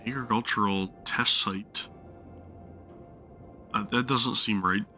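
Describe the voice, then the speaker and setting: A man's voice: on the low side, fairly even intonation, slow pace, pushed and bright, faint music behind. A man streaming a game live, chatting casually while his sore throat makes him lose his voice, rambling and apologizing often. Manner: apologetic, rambling, many restarts.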